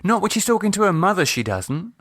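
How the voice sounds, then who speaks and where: A man's voice: sits high, sing-song, brisk, fairly bright tone, no echo, pitched above the performer's own voice, exaggerated voice. A man acts out a middle-aged British wife and mother in an audiobook, grumbling and sardonic with a flirty edge. The intonation swings animatedly, and the sound is dry and close. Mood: flustered, wry.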